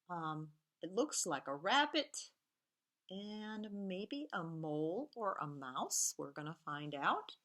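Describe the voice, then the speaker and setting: A woman's voice: medium pitch, a bit bright. A woman teacher reading a picture book aloud to young children and explaining simple machines in a sing-song storybook manner. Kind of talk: children's read-aloud lesson.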